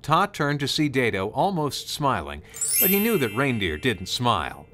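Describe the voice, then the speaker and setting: Male narrator, scripted storybook read, mid pitch, noisy recording with clicks. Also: very animated, normal speed.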